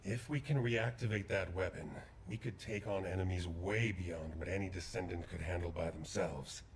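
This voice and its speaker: A steady male soldier from a video game, speaking in a calm, measured, earnest way. His voice sits very low. Faint noise runs underneath. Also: gravelly.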